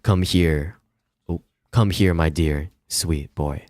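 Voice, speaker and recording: A sweet sea spirit from a visual novel, played by a man. The voice is deep and close, with no room around it. It beckons tenderly, coaxing its victim nearer. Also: takes it slowly, a little mellow, no room sound. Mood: beckoning.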